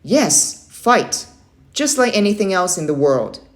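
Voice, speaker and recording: Woman as tough young uncle, military, emphatic, motivating, unhurried delivery, children's storybook. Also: medium pitch, big pitch swings. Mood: rousing, emphatic. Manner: firm, emphatic, challenging. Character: stern, motivating young adult uncle, Navy man. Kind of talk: children's audiobook read-aloud.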